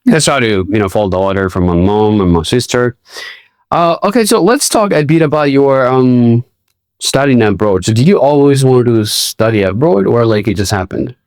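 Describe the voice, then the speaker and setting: A man's voice: medium pitch, animated, normal speed, darkish tone, no echo, studio quality. A man hosting a casual interview, asking friendly questions with many fillers and hesitations. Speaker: host.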